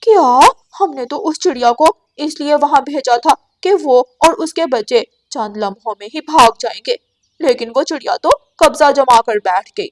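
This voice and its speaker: A woman's voice: high-pitched, moderate pace, lightly acted. Woman doing an irritable, scheming male crow. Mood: outraged. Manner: blunt, agitated.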